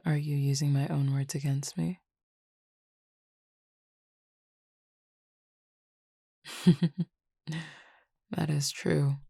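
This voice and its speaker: A woman playing a calm, teasing Cupid roommate with formal, measured speech in an intimate roleplay audio drama. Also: sits low, gravelly, husky, no room sound, studio quality, exaggerated voice. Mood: teasing, fond.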